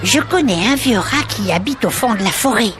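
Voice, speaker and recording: An earnest young animal child from a children's audio tale, acted by a woman. The voice is high and lively, with a plucky character. Music runs underneath. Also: normal speed, crowd noise, lightly acted. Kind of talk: children's audio story acting.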